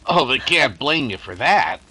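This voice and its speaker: A man's voice: mid-range voice, very animated, normal speed, bright, forceful tone, breathy edge, full character voice. A man playing a nervous, plain-talking detective sidekick with a hesitant, informal manner, in a 1930s-style radio drama. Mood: curious.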